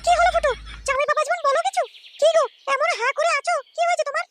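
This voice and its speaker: A sly, fussy cartoon mother-in-law in middle age, coaxes and complains in a rapid patter. The voice is artificially pitched to an extreme squeak, over loud noise and bird chirps. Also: steady tone. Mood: prying. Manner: coaxing, fussy. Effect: pitched-up chipmunk-like voice. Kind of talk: comic cartoon dialogue.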